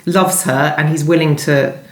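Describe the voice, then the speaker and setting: A woman's voice: low voice, steady tone, moderate pace. A woman, a novelist being interviewed, answering conversationally and thinking aloud as she rephrases. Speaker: novelist being interviewed about her book. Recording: roomy, echoing indoor sound.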